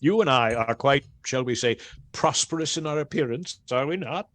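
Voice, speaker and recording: An actor playing a hearty, generous master woodcarver in a radio play, with hesitant, old-fashioned jovial talk. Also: male voice, mid-range voice, normal speed, fairly bright tone, natural voice. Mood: cheerful, indulgent.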